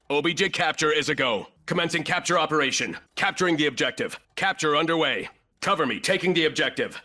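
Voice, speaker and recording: An actor playing a terse, cold special forces operator in a military shooter game, clipped and businesslike. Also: male voice, a little high, pushed and bright. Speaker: video game operator character.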